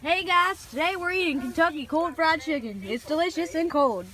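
A young woman vlogging casually on a handheld phone, giggly and excitable, sometimes shouting. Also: extremely high pitch, moderate pace. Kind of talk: casual chat. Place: outdoor racecourse and car. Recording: noisy phone recording outdoors. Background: crowd and outdoor noise.

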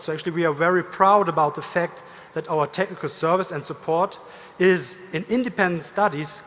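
A middle-aged man giving a corporate keynote presentation in a measured, deliberate way, pausing as he goes. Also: high-pitched, fairly bright tone. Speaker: company executive, keynote speaker. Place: large indoor conference stage. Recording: reverberant hall, stage amplification.

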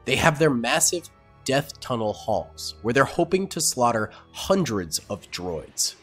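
Young man, sick and hoarse-edged, upbeat gaming commentary, faint music behind. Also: mid-range voice, sing-song, unhurried, a bit bright. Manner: upbeat, chatty, talks to viewers. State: sick, partly lost voice. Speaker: gaming channel host. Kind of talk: casual gameplay commentary.

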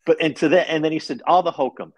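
A man's voice: a little high, steady tone, quick pace, no room sound. A man in his fifties with an American accent, telling stories conversationally as a guest author in an interview. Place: living room at home, indoors. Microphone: video call.

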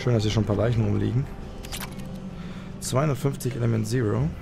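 A man's voice: mid-range voice, fairly even intonation, fast talker, gravelly. A man commentating casually on a game he plays, thinking aloud and a little hesitant. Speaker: let's player. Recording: game music behind the voice. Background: video game music and effects.

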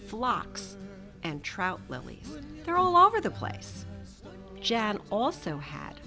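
Woman narrator for a picture book, slow and very lively in tone, soft music underneath. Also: mid-range voice. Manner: gentle, expressive, slow and lively. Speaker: storybook reader. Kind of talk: children's read-aloud.

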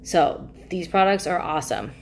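A young woman with a notably low voice talks casually and enthusiastically to the camera. She chats with her hands, recorded from desk distance in a small indoor room, with a faint hiss under the voice. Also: animated, moderate pace, creaky, darkish tone. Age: young adult. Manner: chatty, enthusiastic, gestures while talking. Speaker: product reviewer. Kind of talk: casual talking-head product chat.

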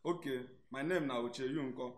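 A man's voice: medium pitch, moderate pace, lightly acted. A young man with a Nigerian accent playing a welcoming, joking student roommate in a radio drama. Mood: friendly, joking. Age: young adult. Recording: echoey room, faint noise.

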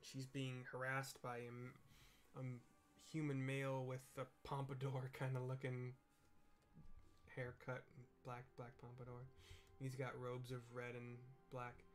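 A man's voice: mid-range voice, completely flat delivery, measured pace. A middle-aged man giving casual, rambling commentary as he streams a game. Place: small room at home. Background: game music.

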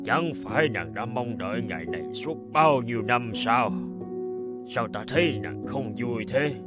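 An actor playing a burly, boastful, hot-tempered royal guard and bridegroom in a cartoon folk tale, emphatic and demanding. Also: male voice, mid-range voice, animated, unhurried, loud music behind the voice, close to an everyday voice. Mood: puzzled. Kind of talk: animated folk-tale dialogue.

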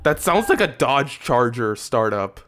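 A young man streaming from a desk mic, chatting casually and excitably with lots of fillers. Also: medium pitch, measured pace, music in the background. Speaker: streamer and audio editor. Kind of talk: casual reaction stream chat. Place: indoor room at desk in gaming chair. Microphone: desk microphone on arm.

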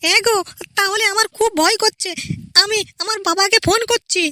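A woman's voice: very high-pitched, steady tone, a little fast, pushed and bright, dry recording, studio quality. A woman voicing a naive, anxious young village wife in an amateur comedy cartoon, speaking in a pleading manner. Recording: very noisy amateur cartoon audio.

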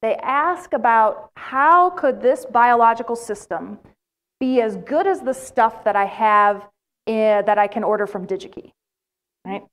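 A woman's voice: on the high side, measured pace. A middle-aged American woman giving a plenary lecture in a large lecture hall, telling stories and putting questions to the audience. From United States. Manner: animated storytelling with questions to the audience. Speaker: plenary speaker.

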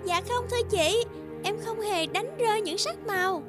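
A woman voicing a kind, humble little flower in an animated fairy tale, childlike, polite and earnest. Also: extremely high pitch, normal speed, music in the background. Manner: polite, earnest, wide-eyed.

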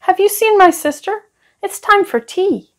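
Woman as an earnest, high-pitched little boy. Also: a little slow. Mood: earnest, questioning. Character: earnest little boy searching for his sister.

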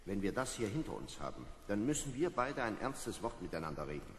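A man's voice: lowish voice, big pitch swings, fairly quick. A man playing a stern, commanding spaceship officer in a German audio drama, with brusque, authoritative diction. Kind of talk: 1970s German children's audio drama acting. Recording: reverberant vintage audio drama.

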